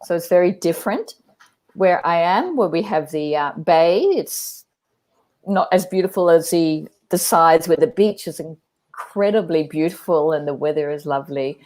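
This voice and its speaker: A woman with an Australian accent chatting on a video call, encouraging and quick to laugh. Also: on the low side, a little mellow. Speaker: guest, artistic director. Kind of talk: live online conversation.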